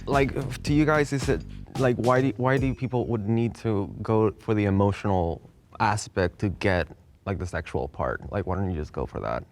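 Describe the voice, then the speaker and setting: A man's voice: on the low side, normal speed, soft background music. A man in his mid twenties from Colombia chatting casually and asking pointed questions. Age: 20s. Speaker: panel contestant. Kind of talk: casual chat.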